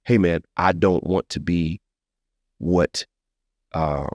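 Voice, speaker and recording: A man's voice: low voice, fairly even intonation, slow, darkish tone, dry recording, clean studio sound. An American man talking casually as a podcast host, informal and slangy, making his points emphatically and checking that his listener agrees.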